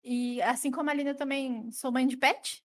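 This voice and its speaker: A woman's voice: sits high, steady tone, moderate pace. Wry, teasing woman in her thirties. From São Paulo, Brazil. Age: 30s. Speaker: podcast co-host. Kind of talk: casual podcast chat.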